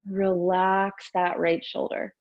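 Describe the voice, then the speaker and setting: A woman yoga teacher leading gentle breath work and movement in a soothing, unhurried way, with pauses between instructions. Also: on the low side, flat delivery, lo-fi sound.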